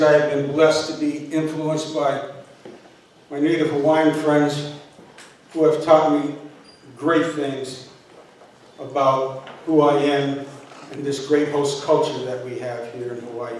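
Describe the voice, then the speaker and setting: A man's voice: fairly high pitch, slight background noise. Earnest older man, echoey and distant. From northeastern Pennsylvania. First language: Polish. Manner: earnest, halting, with many fillers and restarts. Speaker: university president candidate. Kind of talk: public talk to an audience. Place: university hall. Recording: echoey room, from far off.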